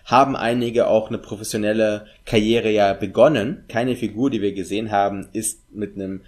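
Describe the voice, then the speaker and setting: A man's voice: on the low side, fairly even intonation, normal speed, darkish tone. A man in his thirties, a native German speaker, co-hosting a casual film podcast, talking in a chatty way with many fillers and tag questions. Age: 30s. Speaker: podcast co-host. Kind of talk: casual film review chat.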